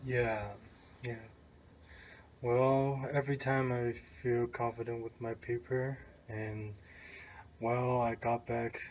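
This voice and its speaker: Taiwanese teen man, flat halting English. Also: medium pitch, lo-fi sound, a little hiss or hum. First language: Chinese. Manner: halting non-native English with restarts. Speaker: interviewee. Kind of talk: casual interview answers.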